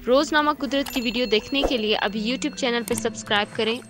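Female promo announcer, high pitch, bright forceful tone, scripted read, music behind. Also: brisk.

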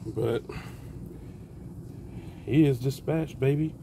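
An older man giving a relaxed, folksy how-to narration outdoors in a backyard while he works with his hands. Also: mid-range voice, takes it slowly, a little hiss or hum. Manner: folksy, relaxed, talks while plucking, calls out "baby". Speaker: backyard homesteader. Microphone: phone camera held close, hands-on filming.

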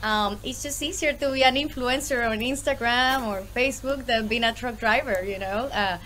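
A woman in her thirties from Puerto Rico speaks with a Puerto Rican accent. She sits in a room at home and talks over a video call, animated and often laughing, her voice a little high with faint hiss behind it. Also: normal speed. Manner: lively, laughs often, many fillers. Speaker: guest, small business owner. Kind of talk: casual interview on a video call.

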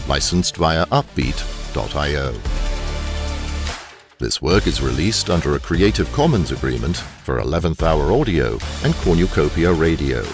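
Deep-voiced man reading end credits. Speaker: credits announcer. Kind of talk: scripted end-credits narration for an audio drama. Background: music.

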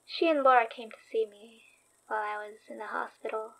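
A woman playing an earnest, anxious, loyal young store worker in a naturalistic horror audio drama, speaking with a hesitant, halting manner. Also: fairly high pitch, steady tone, gravelly, fairly bright tone, low-quality recording. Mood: guilty, subdued.

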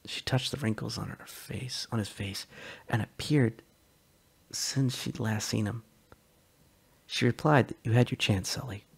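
Gravelly husky male storyteller. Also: mid-range voice, unhurried, pauses often. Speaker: narrator. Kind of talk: dramatic reading of a short story.

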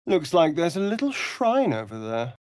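An actor playing a vain, sardonic vampire rogue in a video game, with a British accent and an arch, aristocratic, theatrical delivery. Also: male voice, a little high, wildly swooping pitch, normal speed, a little mellow, dry recording, studio quality. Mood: arch, wry. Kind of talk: theatrical game character dialogue.

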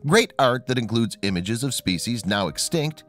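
A man reads a scripted documentary voice-over with a mid-range voice that rises and falls widely. His delivery is measured and expressive. Soft music plays faintly underneath. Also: mid-range voice. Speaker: documentary narrator.

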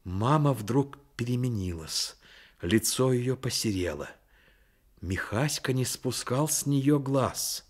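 A man narrating a Soviet radio play as a grave, measured storyteller. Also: medium pitch, lively intonation, slightly rough. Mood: grave, lyrical. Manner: measured, literary delivery. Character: grave male storyteller of a childhood tale.

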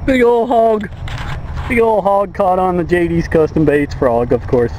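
A man in his forties on a small fishing boat, chatting excitedly to an action camera and his dog about his catches. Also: high-pitched, measured pace, slight background noise, poor audio. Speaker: fishing vlogger. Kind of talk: casual outdoor commentary to camera and dog. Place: small fishing boat on open water outdoors. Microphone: action camera at close range.

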